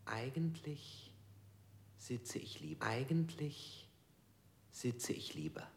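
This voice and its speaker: A bewildered man answers every question haltingly in a stylized radio play, often turning the question back on his questioner. His voice sits at a middle pitch and moves widely up and down. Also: big pitch swings, whispered. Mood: hesitant. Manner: hesitant, repeating and echoing the questions put to him. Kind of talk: stylized radio drama acting.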